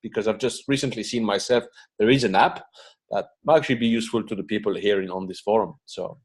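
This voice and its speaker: A man's voice: fairly low pitch, fast talker, slightly rough. A man moderating an online meeting in a casual way, with informal, somewhat hesitant phrasing.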